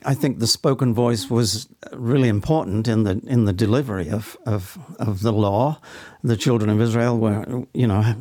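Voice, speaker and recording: A man's voice: mid-range voice, a little mellow, no room sound. Thoughtful, hesitant man in a dry studio. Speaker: stage actor, podcast guest. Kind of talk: conversational interview answers.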